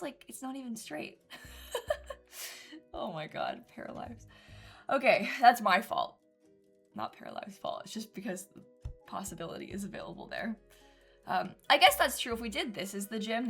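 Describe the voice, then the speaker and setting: A woman's voice: mid-range voice, lively intonation, moderate pace, gravelly, a bit bright, faint music behind. A young woman streaming a building game from home, sounding congested from a cold and thinking aloud with lots of ums and little giggles. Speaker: gaming streamer. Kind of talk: casual live-stream chat while building in a game.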